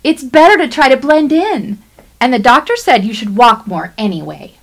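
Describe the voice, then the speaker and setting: A woman acting in a radio play as a sweet but gently bossy American wife, chirpy and coaxing. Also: sits high, animated, normal speed.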